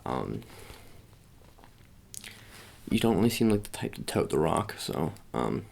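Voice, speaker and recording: A man's voice: rumbling low, big pitch swings, a little slow, gravelly, a little mellow. A man doing an informal ASMR roleplay as an agent, improvising hesitantly with playful slang in a one-sided personal chat.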